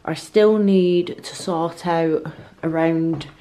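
A woman's voice: low-pitched, fairly even intonation, takes it slowly, dark tone, low-quality recording. A woman, talking casually to camera as a home vlogger in a hesitant, musing way. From North of England. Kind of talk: casual vlog talk to camera. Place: living room at home.

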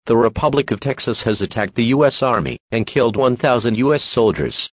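A man's voice: medium pitch, animated, a little fast, no echo. A man reading a scripted emergency broadcast announcement in a formal, impersonal manner.

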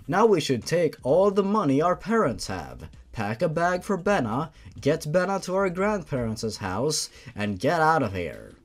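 A man's voice: a little high, big pitch swings, unhurried, few pauses. A man voicing a sinister, scheming inner voice in a story, giving orders conspiratorially. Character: sinister, scheming inner voice goading a teenage girl. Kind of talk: dramatic story reading. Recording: music behind the voice.

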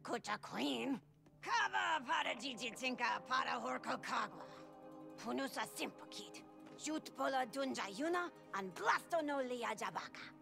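Alien insurgent, video game. Brusque, fierce, raspy creak, high-set, invented creature language. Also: female voice, animated, measured pace, music in the background, full character voice. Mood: fierce, commanding.

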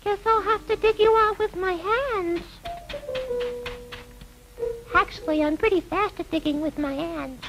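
Man acting little girl puppet, very high pitch, earnest, pleading, childlike, old children's film. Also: steady tone, moderate pace, creaky, poor audio. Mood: kindly. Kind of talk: scripted children's educational puppet show. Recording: old 1960s film soundtrack, music behind.

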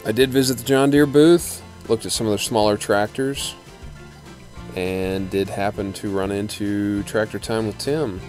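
Casual male vlogger, lowish voice, relaxed show-floor commentary, faint music bed. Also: measured pace. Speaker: channel host. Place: large indoor exhibition hall.

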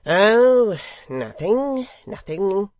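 Casting: male narrator as smooth storybook schemer, falsely gentle, pious-sounding, drawn-out and insinuating. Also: high voice, sing-song, no echo, muffled recording, pitched above the performer's own voice, exaggerated voice. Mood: casually sly. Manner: pastor-like, mild, insinuating, drawn-out.

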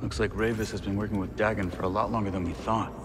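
A man plays a breezy, confident mercenary sidekick in a sci-fi game. He talks fast and casually in a lowish voice. The music behind him is very loud. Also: fairly even intonation, natural voice. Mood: confident, explanatory. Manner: casual, colloquial, quick. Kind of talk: video game cutscene acting.

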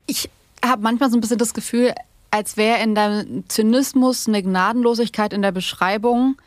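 A woman's voice: a little high, fairly even intonation, moderate pace, no echo. A woman hosting a podcast, asking long, conversational interview questions.

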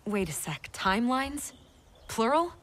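Lively young soldier, slightly distant. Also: female voice, a little high, a little slow, a little hiss or hum. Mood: confused. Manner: casual, blunt, modern speech. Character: brash, puzzled young female soldier. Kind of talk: dramatic video game cutscene acting.

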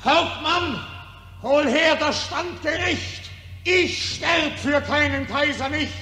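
An actor playing a defiant, pleading dying soldier, declaiming verse in an impassioned, drawn-out 1940s radio drama manner. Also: male voice, extremely high pitch, bright, forceful tone, full character voice. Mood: defiant, anguished. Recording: noisy 1940s radio recording.

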